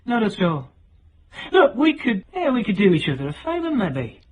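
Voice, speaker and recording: A man's voice: sits high, huge pitch swings, normal speed, breathy edge, exaggerated voice. An actor playing a cocky, hard-edged, self-made middle-aged British man in a radio drama, talking in brash, sardonic, colloquial clipped phrases. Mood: sly, scheming.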